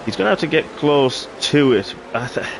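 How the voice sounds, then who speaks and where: A man giving live play-by-play commentary on a sim racing league, hoarse and clearing his throat after losing his voice. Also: mid-range voice, fairly quick, background noise. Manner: excitable play-by-play, rising sharply at incidents. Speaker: race commentator.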